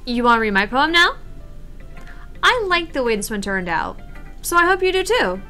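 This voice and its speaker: A woman's voice: on the high side, very animated, normal speed, pushed and bright, soft background music. A woman voicing a friendly, teasing teenage club girl in a visual novel read-aloud, chatty and encouraging. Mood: gentle, teasing.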